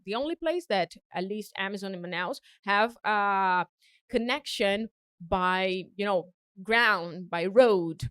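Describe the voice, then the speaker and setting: A woman's voice: mid-range voice, expressive, takes it slowly, a bit bright, clean tone, no room sound, studio quality. A young woman with a Brazilian Portuguese accent, a singer chatting as an interview guest, laughing often and restarting her sentences. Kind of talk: casual interview chat.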